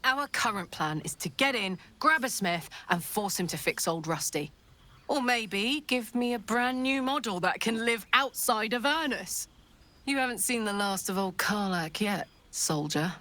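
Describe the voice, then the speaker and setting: Casting: hearty, battle-hardened woman soldier for a game, bright and punchy, blunt but warm-hearted. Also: mid-range voice, animated, moderate pace. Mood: excited, determined. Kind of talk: video game character acting.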